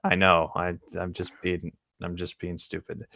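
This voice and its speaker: A man's voice: sits low, completely flat delivery, unhurried, sharp tone, no room sound, studio quality. A man co-hosting a casual read-along, narrating a story and adding offhand comments. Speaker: co-host reading a story aloud.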